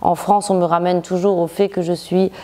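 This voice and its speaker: Thoughtful Moroccan-born woman novelist, mellow voice. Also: lowish voice, little pitch movement. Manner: thoughtful, many restarts and filler pauses. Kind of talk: reflective interview monologue. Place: bright living room by a large window.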